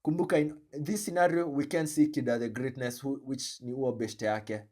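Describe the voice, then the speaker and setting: A man with a Kenyan accent hosting a reaction video, commenting in an animated, joking way and switching between slang and English. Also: medium pitch, normal speed. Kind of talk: commentary on a played clip.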